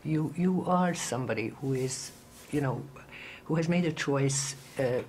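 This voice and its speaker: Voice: mid-range voice, a little slow, a little mellow, a little hiss or hum. An interviewer asking an actor long, earnest questions with a few restarts. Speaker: entertainment interviewer. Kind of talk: press junket interview questions.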